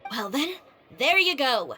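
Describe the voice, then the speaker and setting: An actress playing a confident, no-nonsense young woman in a video game, brisk and assertive. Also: high voice, very animated, bright, forceful tone, heavily acted. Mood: dismissive. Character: confident, blunt young female fashion designer. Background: music.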